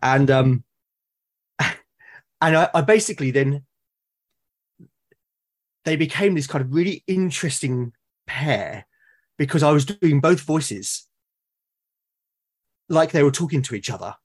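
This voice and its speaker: A British man in casual, reflective conversation, explaining things in a halting, thoughtful way and often rephrasing himself. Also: a little high, animated, slightly rough. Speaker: podcast guest or co-host, tabletop game master. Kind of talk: casual reflective conversation about roleplaying games.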